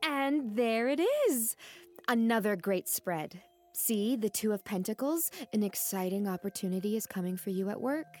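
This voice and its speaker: A woman's voice: mid-range voice, animated, moderate pace, darkish tone, faint music behind, natural voice. An actress playing a young woman who reads tarot in an audio drama, soothing and concerned. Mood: reassuring. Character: caring young woman tarot reader, gently reassuring. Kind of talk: naturalistic audio drama acting.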